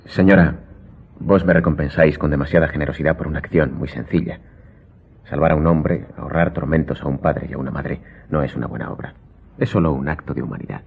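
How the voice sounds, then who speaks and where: A very deep, gravelly, solemn count. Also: male voice, steady tone, a little fast, heavily acted. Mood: solemn, modest. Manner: formal, solemn. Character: Montecristo, grave courteous mysterious count. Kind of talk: Spanish radio drama acting. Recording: muffled, phone-like lo-fi audio.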